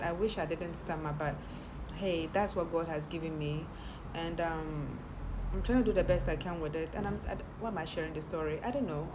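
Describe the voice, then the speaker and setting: A woman talks frankly to camera in a personal monologue, often repeating words and restarting her sentences. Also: low voice. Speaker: content creator and TV presenter. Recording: steady background noise.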